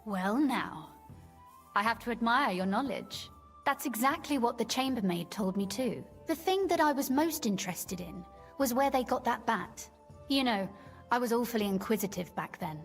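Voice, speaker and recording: A woman's voice: a little high, lively intonation, normal speed. An actress playing a young, curious noblewoman in a video game, speaking in a genteel, articulate and teasing manner. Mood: nostalgic, intrigued. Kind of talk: video game dialogue acting. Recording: long reverb tail, faint game music behind.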